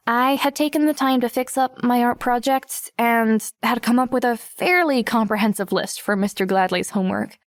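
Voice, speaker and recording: A woman's voice: on the high side, dry recording, studio quality, close to an everyday voice. An actress playing a wry, self-conscious teenage girl narrating her own story in an audiobook. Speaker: lead narrator. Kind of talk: first-person audiobook narration.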